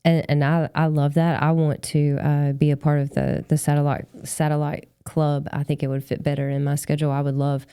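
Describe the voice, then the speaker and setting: A woman's voice: deep voice, flat delivery, brisk, few pauses, mellow, clear, resonant tone, dry recording, clean studio sound. A woman hosting a podcast in a casual, effusive, informal way. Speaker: podcast host.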